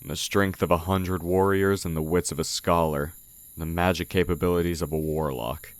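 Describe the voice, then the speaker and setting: A man playing a sly oni who poses as a humble village blacksmith in an audio roleplay, talking in a measured, condescending way. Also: deep voice, fairly even intonation, clear, resonant tone, dry recording, close to an everyday voice. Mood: grim. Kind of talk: audio roleplay, intimate character acting. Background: night forest ambience with crickets.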